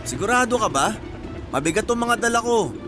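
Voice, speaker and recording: A cheeky smitten suitor, high-voiced. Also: male voice, lively intonation, fairly quick, a crowd in the background. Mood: eager. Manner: teasing, flirtatious, easygoing. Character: persistent, cheeky, smitten male suitor, hardworking rice hauler. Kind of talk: radio drama acting. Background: music.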